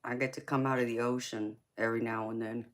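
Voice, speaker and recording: A woman's voice: a bass voice, flat delivery, quick pace. A woman with a Southern US accent, hosting a casual livestream and chatting with viewers, laughing often and calling them baby. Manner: laughs often, answers chat by name, calls viewers "baby". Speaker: tarot reader and livestream host. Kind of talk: casual livestream chat reading viewer comments.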